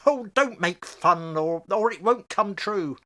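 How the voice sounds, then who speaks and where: A male actor plays a genial family father in a radio drama. His voice moves up and down in a lively way, hesitant and good-humoured, with a stubborn streak. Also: high voice, takes it slowly, full character voice. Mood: musing, amused. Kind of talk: radio play acting.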